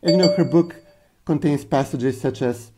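A man with a dark, mellow voice speaks in casual podcast talk. He halts often and fills his pauses with uh and um. He tells his stories in an earnest, searching way. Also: fairly high pitch, breathy edge. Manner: halting, full of uh fillers; earnest storyteller. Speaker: podcast co-host.

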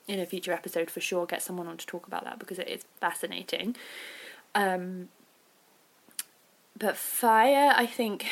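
A woman hosting a podcast as an informal, reflective solo chat, with hedging asides and a creaky voice. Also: lowish voice, fairly bright tone. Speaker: podcast host.

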